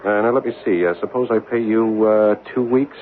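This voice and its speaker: An actor playing a polite, hesitant American writer visiting as a stranger in an old-time radio drama. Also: male voice, fairly low pitch, steady tone, brisk, lightly acted. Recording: narrow-band old radio, music behind.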